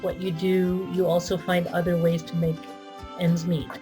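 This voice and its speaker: A woman's voice: fairly low pitch, little pitch movement, normal speed. Chatty woman with music underneath. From Philippines, raised in Canada. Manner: chatty, with restarts and light laughs. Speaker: interview guest. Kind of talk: casual interview talk.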